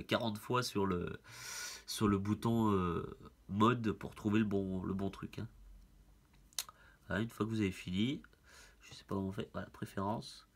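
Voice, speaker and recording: A man with a head cold, demonstrating a product in a casual, hesitant way with many fillers. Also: lowish voice. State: has a head cold, stuffy. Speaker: product reviewer. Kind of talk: casual hands-on product demo.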